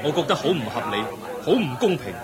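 Man as a young prince, earnest, compassionate, lively pitch, radio play, busy crowd behind. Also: quick pace. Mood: resolute. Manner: earnest, indignant pleading. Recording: market crowd sound effects behind. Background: crowd murmur.

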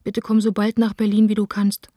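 A woman's voice: mid-range voice, monotone, fairly quick, dark tone, no room sound, clean studio sound, close to an everyday voice. An actress playing a disheartened young theatre director, reading her letters intimately in a radio drama. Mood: shaken, pleading.

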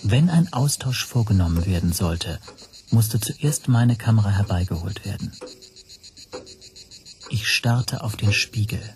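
A man's voice: lowish voice, background noise, lightly acted. An actor playing a tense, self-doubting man who tells his own story as introspective first-person narration in a radio play.

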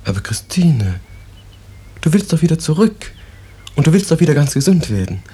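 A man's voice: fairly high pitch, very animated, moderate pace, dark tone, breathy edge. A man acting a solicitous, coaxing husband in a 1950s radio drama. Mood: coaxing, concerned. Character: solicitous husband of a hospital patient. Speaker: actor.

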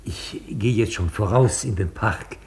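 A low-pitched, genteel old man in a radio play. He was once an opera singer and still carries himself with vanity. His speech is formal, courtly and theatrical. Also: unhurried, a little mellow. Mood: pleased, vain. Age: older. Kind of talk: German radio play acting.

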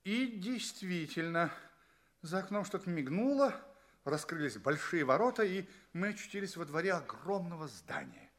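A man's voice: a little high, big pitch swings, moderate pace. An actor playing an anxious, bewildered space traveller in an audio play, politely asking questions and telling his tale theatrically. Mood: narrating.

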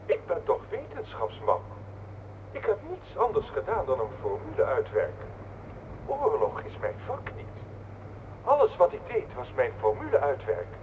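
A man's voice: mid-range voice, wildly swooping pitch, moderate pace, phone-quality sound. An actor playing a guilt-ridden male scientist in a radio play, defensively and repetitively insisting on his innocence. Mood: anguished, defensive. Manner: defensive, repetitive, self-justifying. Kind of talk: dramatic radio play acting. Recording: noisy old radio drama recording.

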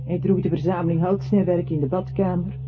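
A woman performing as the narrator of a literary radio play, telling a man's story in a measured way. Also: lowish voice, fairly even intonation, lightly acted. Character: woman narrator recounting a troubled man's life. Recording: tinny band-limited audio with clear noise.

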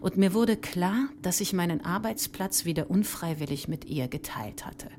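A woman playing a reflective, candid mathematician and mother in a measured, introspective literary monologue. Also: lowish voice, a little mellow, slight background noise, lightly acted. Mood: quietly reflective. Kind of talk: literary first-person monologue over ambience.

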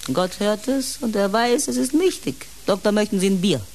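A woman's voice: medium pitch, normal speed, a little mellow, a little hiss or hum, lightly acted. An actress in a German radio play playing an older, pious housekeeper, blunt, motherly and needling in a dry, folksy way. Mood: teasing, chiding. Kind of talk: German radio drama acting.

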